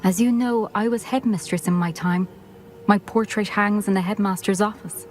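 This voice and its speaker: Actress doing a stern dignified old-school headmistress from a fantasy game, formal and measured, music faintly behind. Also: female voice, mid-range voice, steady tone, natural voice. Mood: grave, measured. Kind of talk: video game cutscene acting.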